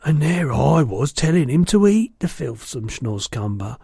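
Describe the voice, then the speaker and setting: Children's storybook role: man playing a soft-hearted giant, earnest and innocent, husky and creaky, muddled grammar. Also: on the high side, big pitch swings, unhurried, no room sound, studio quality, exaggerated voice. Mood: shocked, caring. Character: gentle, kindly, innocent giant, earnest and protective.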